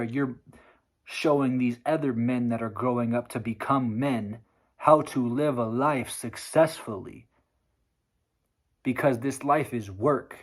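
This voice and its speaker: A man's voice: medium pitch, a little mellow. A man lecturing bluntly and profanely in a motivational vlog, his voice sore and strained.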